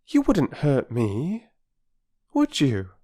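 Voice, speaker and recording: A man's voice: mid-range voice, huge pitch swings, very slow, mellow, voice pushed up, heavily acted. A man voicing an earnest young girl who talks breathlessly and pleads in a storybook reading. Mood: gentle.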